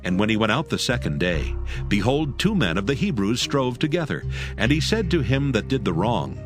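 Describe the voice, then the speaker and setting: A man's voice: medium pitch, big pitch swings, moderate pace. A man narrating scripture as storyteller in a dramatized audio production. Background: music.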